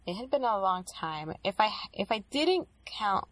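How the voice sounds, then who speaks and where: A young woman speaking reflectively in casual conversation, with many pauses and restarts. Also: mid-range voice, big pitch swings, fairly bright tone, rough recording. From Dominican Republic.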